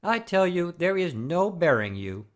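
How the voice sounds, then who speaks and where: Casting: actor as old male aristocrat, pompous, commanding, slow, with extremely swooping intonation. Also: mid-range voice, big pitch swings. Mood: haughty outrage. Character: pompous, outraged old gentleman father-in-law. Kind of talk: audio play of classic stage comedy.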